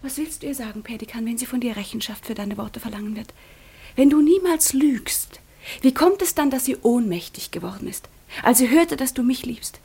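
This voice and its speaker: Haughty hurt young noble lady, high breathy voice, teasing then cutting, radio play style. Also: lively intonation, normal speed, dark tone, exaggerated voice. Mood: bitter, accusing. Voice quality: breathy, often dropping to a whisper. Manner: theatrical, teasing, accusing. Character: proud, sharp-tongued, wounded young noblewoman back from convent. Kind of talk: German stage-classic radio drama acting.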